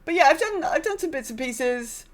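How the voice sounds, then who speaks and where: A woman's voice: high-pitched, lively intonation, normal speed. A woman hosting a gaming podcast, chatting casually in a rambling, self-deprecating way. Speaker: podcast host.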